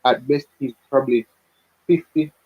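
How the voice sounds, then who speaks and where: Husky man on a dull call line. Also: mid-range voice, steady tone. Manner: deliberate, checks in with "right?". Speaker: caller giving football opinions. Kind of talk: opinionated, explanatory sports talk.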